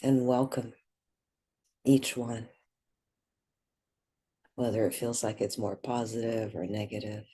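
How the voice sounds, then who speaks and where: A woman guiding a meditation, speaking gently in short, measured phrases with long pauses between them. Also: a bass voice, little pitch movement. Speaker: guided meditation leader. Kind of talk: guided meditation.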